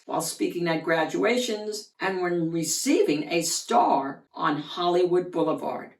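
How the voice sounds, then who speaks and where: A woman's voice in a roomy space, a little away from the microphone, reads a picture book aloud to children. She takes her time, shaping phrases with expressive, deliberate emphasis. Her pitch sits in a middle range for a woman. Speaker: children's book reader, host of a read-aloud channel. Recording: roomy, echoey, distant from the mic.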